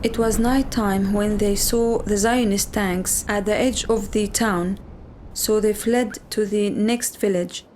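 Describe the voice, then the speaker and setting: Measured woman narrating her life story. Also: medium pitch, steady tone, darkish tone, slight background noise. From Lebanon. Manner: measured, plain-spoken testimony. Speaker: documentary narrator. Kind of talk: personal documentary narration.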